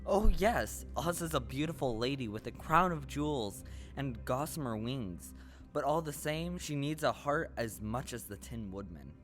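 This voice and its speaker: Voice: medium pitch, expressive, normal speed, a bit bright, faint music behind, lightly acted. A performer in an audio play playing a humble, earnest straw-stuffed scarecrow. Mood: puzzled, downcast. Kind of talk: audio play acting before a live audience.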